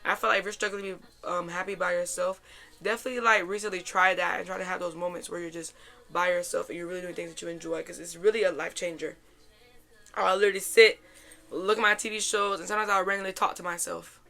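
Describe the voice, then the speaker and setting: A young woman hosting a casual, chatty life-update podcast in an informal, slangy way, her voice a little raspy and hoarse. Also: on the low side, fairly even intonation, fast talker, pushed and bright. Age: young adult. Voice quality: slightly raspy, worn voice. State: hoarse after a weekend out. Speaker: podcast host.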